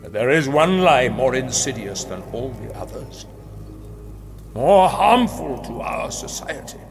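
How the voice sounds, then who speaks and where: Grandiose rabbit ruler, slow oratory. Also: male voice, mid-range voice, big pitch swings. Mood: menacing, impassioned. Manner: slow, emphatic, dramatic pauses. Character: grandiose, menacing rabbit ruler addressing his citizens. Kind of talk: theatrical public oration. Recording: long hall reverb, music underneath.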